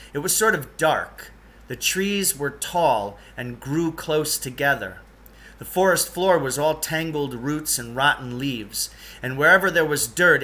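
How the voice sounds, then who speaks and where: A man reading a storybook aloud as narrator, with animated, scripted delivery. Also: mid-range voice. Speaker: story reader.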